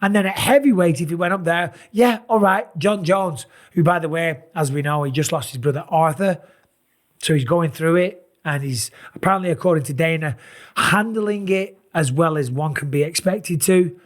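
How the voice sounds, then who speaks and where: A middle-aged man with a raspy, sore voice, tired and talking in an animated, casual way as he breaks down fight matchups. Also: on the high side, normal speed. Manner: animated, emphatic, rambling asides. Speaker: MMA analyst and channel host. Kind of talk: casual fight commentary to camera.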